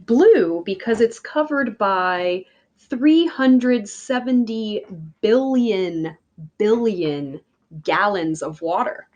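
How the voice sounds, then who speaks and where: Woman reading slowly, with animated pitch. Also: muffled recording. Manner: deliberate, emphatic phrasing addressed to young listeners. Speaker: teacher reading a picture book aloud. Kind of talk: children's read-aloud.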